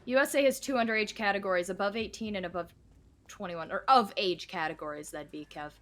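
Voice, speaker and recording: A woman's voice: mid-range voice, fairly quick, a bit bright, soft background music. A woman in her twenties streaming a game, chatting casually, joking and answering her chat. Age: 20s. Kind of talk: casual live gameplay chat.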